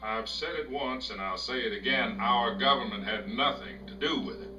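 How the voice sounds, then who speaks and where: An actor playing a guarded, statesmanlike American president in a video game cutscene, speaking in a measured way. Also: male voice, mid-range voice, recorded from a distance, lightly acted. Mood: firm, guarded. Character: American president, guarded, firmly denying blame. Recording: dull, echoey game audio under music.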